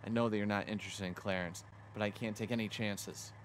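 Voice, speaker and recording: A man's voice: on the low side, fairly even intonation, fairly quick, a little hiss or hum, close to an everyday voice. A man voicing a scheming, jealous young woman villain in a visual novel, flippant and boastful. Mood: gloating menace. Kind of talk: visual novel character read-aloud. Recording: music behind the voice.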